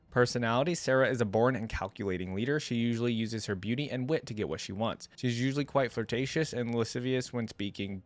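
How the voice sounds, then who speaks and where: A man hosting a reaction video, reading aloud and adding casual, conversational commentary as he muses out loud. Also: medium pitch, no room sound.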